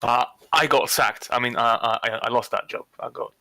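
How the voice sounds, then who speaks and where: Bright-voiced man chatting. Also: medium pitch, steady tone, moderate pace, creaky, no room sound. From Poland. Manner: friendly, laughs easily, self-deprecating. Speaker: chat participant. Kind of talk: casual group voice chat.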